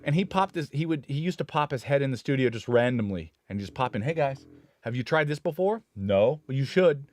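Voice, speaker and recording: A man's voice: medium pitch, expressive, brisk, darkish tone, dry recording. A man talks shop about mixing boards and microphones in an easygoing, rambling way, often circling back to correct himself. His voice sits in an ordinary middle register. The sound is dry, with little room around it. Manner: rambling, self-correcting, enthusiastic about gear. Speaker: studio engineer co-host. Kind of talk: casual live-stream gear chat.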